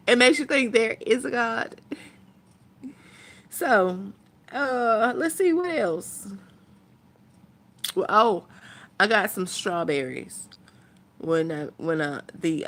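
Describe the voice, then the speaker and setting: Slow-talking woman vlogger. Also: medium pitch, fairly bright tone. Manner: unhurried, rambling, thinking aloud. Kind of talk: casual chat to viewers.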